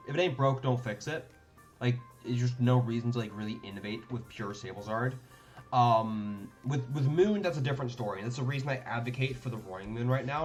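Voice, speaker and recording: Young male streamer, mid-pitched, rambling and casual, thinking aloud over faint music. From Denmark. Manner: thinks aloud, rambling with many fillers and restarts. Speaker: card game streamer. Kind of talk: casual live-stream commentary.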